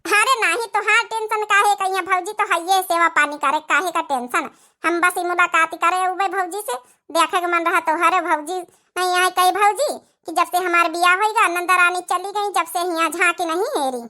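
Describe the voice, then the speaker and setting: An extremely high, bright cartoon voice from a woman actor plays a young married village woman with an Awadhi accent. She is talkative and caring, stretching out her rustic phrases like a fussing daughter in a comedy. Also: steady tone, moderate pace. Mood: chatty, affectionate.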